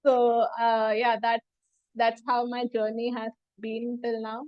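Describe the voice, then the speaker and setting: A woman's voice: on the high side, completely flat delivery, slow, dry recording, highs cut off. A woman in her thirties from India, a psychologist giving a hesitant self-introduction full of fillers and restarts. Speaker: psychologist presenting about herself. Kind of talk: casual self-introduction talk.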